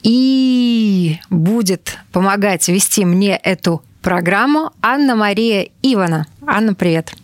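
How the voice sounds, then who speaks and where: A woman works as a radio interview host. Her voice sits at a medium pitch and is recorded very dry, with no room around it. She speaks as a moderator addressing guests and listeners. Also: expressive, takes it slowly, dry recording.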